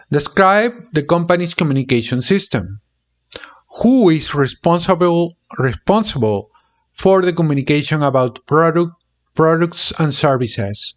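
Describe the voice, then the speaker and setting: A man reading a business textbook aloud in a deliberate, halting way, sometimes repeating words. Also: on the high side. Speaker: channel host reading a book aloud.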